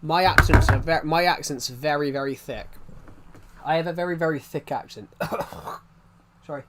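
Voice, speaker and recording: A man's voice: a little high, unhurried, a bit bright, a little hiss or hum. Rambling young British man, thick accent. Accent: thick regional British. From United Kingdom, outside London. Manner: rambling, repeats himself, many restarts. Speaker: game streamer. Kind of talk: casual live-stream chat.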